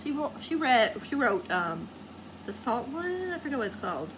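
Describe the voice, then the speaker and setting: High-voiced rambling woman book vlogger, noisy recording. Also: sing-song, normal speed. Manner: rambling, gushing, often trails off searching for words. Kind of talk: casual chatty vlog to viewers.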